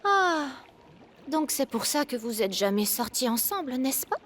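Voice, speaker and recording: A woman's voice: high voice, very animated, unhurried, fairly bright tone, a little hiss or hum, full character voice. A woman voicing a teasing, pointed teenage girl in a French game dub.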